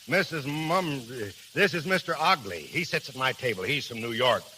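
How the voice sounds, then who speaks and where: An actor playing a brash, folksy middle-aged American businessman in 1930s radio style, loud, slangy and boastful. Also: medium pitch, normal speed, natural voice, low-quality recording. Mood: boisterous, blustery. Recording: hissy old 1930s radio recording.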